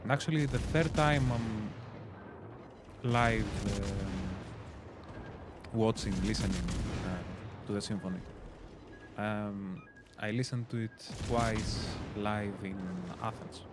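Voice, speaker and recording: A man's voice: on the low side, slow pace, heavy background noise. A Greek man in his twenties, chatting casually and hesitantly as a community stream host while playing. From Greece. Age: 20s. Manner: rambling, filler-heavy, hesitant. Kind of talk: casual chat while gaming.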